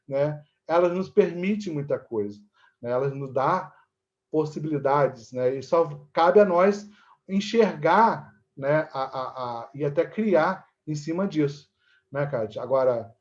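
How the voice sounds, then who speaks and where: Higher-pitched Brazilian man, dull lo-fi audio. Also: measured pace, a little mellow. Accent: Brazilian Portuguese. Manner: explanatory, frequently checking in with tag questions. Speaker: interview guest, theatre teacher and actor. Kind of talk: conversational interview, explaining a production process.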